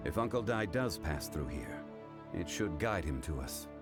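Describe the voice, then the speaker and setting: A composed, learned gentleman with a low, steady voice. He chooses his words with formal care and never hurries. Soft game music runs behind him. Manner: measured, formal, deliberate phrasing. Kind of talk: video game voice acting.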